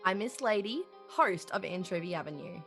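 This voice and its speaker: A woman playing a gentle children's puppet show host, explaining things in a patient, careful way. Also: mid-range voice, expressive, moderate pace, soft background music. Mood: presenting. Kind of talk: children's television host acting, play reading.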